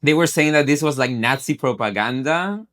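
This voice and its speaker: A man's voice: fairly high pitch, normal speed, fairly bright tone. A man co-hosting a podcast, talking casually and rambling with lots of "like" fillers and frequent laughs. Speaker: podcast co-host.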